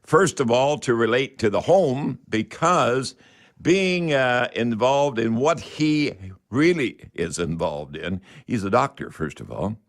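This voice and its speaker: Halting, folksy radio host, a man. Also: medium pitch, animated. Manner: folksy, addresses listeners as folks; halting, rambling delivery with many hesitations. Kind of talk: live call-in radio talk.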